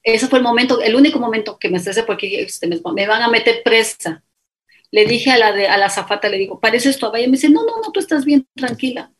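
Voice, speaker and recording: A middle-aged woman with an Ecuadorian Spanish accent, a guest telling her story in a casual interview. She talks in an animated way and acts out other people's lines as dialogue. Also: fairly high pitch, fast talker. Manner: animated, quotes others in dialogue, many fillers.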